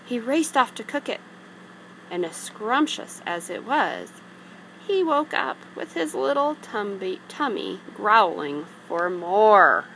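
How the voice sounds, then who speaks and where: A woman reading a children's story aloud in an expressive narrating style. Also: medium pitch, slow. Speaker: storybook reader. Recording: clearly noisy background.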